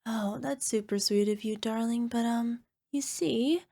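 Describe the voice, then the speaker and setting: A woman's voice: fairly high pitch, unhurried, creaky, warm tone. A woman playing a small, sheepish cyclops monster girlfriend in an ASMR roleplay, wheedling and making cutesy, defensive excuses. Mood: nervous, cheerful. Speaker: voice actor.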